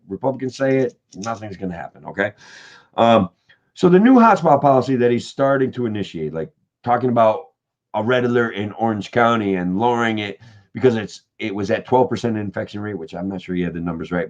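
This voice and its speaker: A man running for office, talking casually to viewers on a late-night livestream, rambling and calling them guys, his voice getting hoarse. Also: on the low side, dull audio. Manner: rambling, keeps saying guys and okay. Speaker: political candidate livestreaming.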